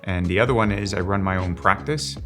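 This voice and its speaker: An older man, a strategic designer from Finland who grew up in Rome, speaks in a thoughtful, measured way and slows down to stress key words. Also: low voice, music in the background. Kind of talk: reflective interview monologue.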